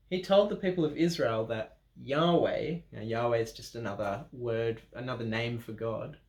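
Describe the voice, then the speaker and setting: An Australian man reading a children's picture book aloud at a church, with playful chatty asides. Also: fairly high pitch. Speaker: children's story reader. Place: church room. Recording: roomy echo.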